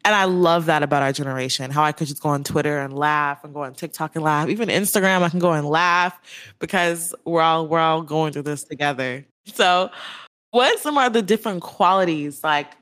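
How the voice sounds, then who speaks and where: A woman's voice: low voice, fairly quick, fairly bright tone, no room sound. A young woman hosting a podcast interview, talking in a casual, chatty way full of "like" and "you know". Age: young adult. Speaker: podcast host.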